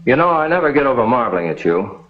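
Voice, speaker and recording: An American actor playing a courteous, steady friend in an old TV western. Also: male voice, medium pitch, quick pace, close to an everyday voice. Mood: kindly, admiring. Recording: dull vintage TV western soundtrack.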